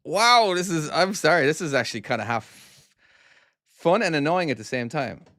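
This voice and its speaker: A man's voice: a little high, expressive, moderate pace, bright, forceful tone, no room sound, studio quality. An Irish man who makes trucking games, chatting casually and self-deprecatingly on a live stream while playing and answering chat. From Ireland. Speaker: game developer streaming his own game.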